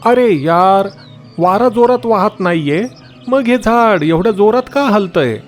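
A man's voice: high-pitched, expressive, a little slow, faint music behind, voice pushed up. A man voicing a puzzled, earnest male myna bird asking questions in a children's cartoon story.

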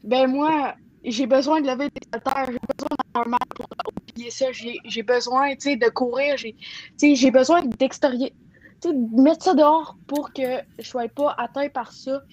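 A woman's voice: high voice, fairly even intonation, moderate pace, darkish tone, slight background noise. A young woman with a Québécois French accent, speaking emphatically in a casual group discussion, repeating phrases as she goes. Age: young adult. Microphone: computer or headset mic on video call. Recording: dull, muffled video-call audio.

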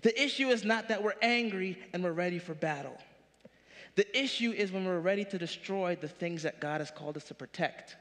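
A man in his thirties preaching a sermon from a large church stage, animated, with punchy rising questions. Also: high voice, brisk, in a large hall. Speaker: pastor.

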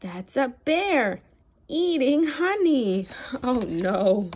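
A woman reading a picture book aloud to young children in an animated, expressive way, with gasps, questions and encouraging praise. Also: sits high, extremely slow, slight background noise. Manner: animated, expressive, sing-song. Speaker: children's read-aloud storyteller. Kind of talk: interactive picture-book read-aloud for toddlers.

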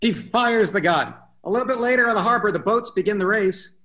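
High-voiced man reading stage directions. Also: fairly quick, sharp tone. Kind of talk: scripted narration at a table read. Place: room at home, video call.

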